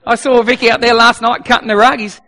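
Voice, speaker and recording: Croaky aussie pastor guy, middle-aged, high voice, preaching hard and repeating himself. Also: flat delivery, fast talker. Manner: emphatic, swings from loud repeated exhortations to soft prayer. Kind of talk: sermon.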